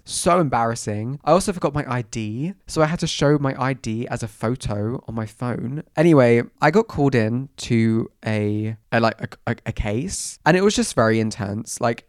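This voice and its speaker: A young man, bunged up and croaky from a cold, telling stories in a dramatic, self-mocking way as a podcast host. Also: mid-range voice, dry recording, studio quality. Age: 20s. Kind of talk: casual chatty storytelling.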